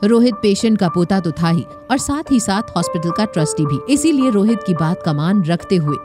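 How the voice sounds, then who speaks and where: A female narrator with a medium-pitched voice tells a story for an audio drama. Her delivery is scripted and dramatic, with music clearly playing behind her. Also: animated, normal speed. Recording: music bed under narration. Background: dramatic background music.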